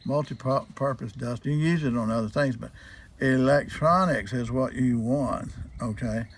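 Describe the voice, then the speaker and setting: A man with a medium-pitched voice explains a hands-on job as a hobbyist would. His delivery is casual and folksy, without a script. He circles back over his points and checks in with the viewer as he goes. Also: measured pace. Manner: folksy, asks and answers own questions. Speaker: hobbyist demonstrating old radio restoration. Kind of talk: casual hands-on demonstration, unscripted.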